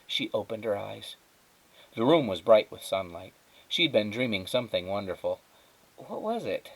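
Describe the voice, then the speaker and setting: Expressive man reading a children's story aloud. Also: lowish voice, rough recording. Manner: dramatic, measured phrasing with emphatic pauses. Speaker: teacher reading aloud to students.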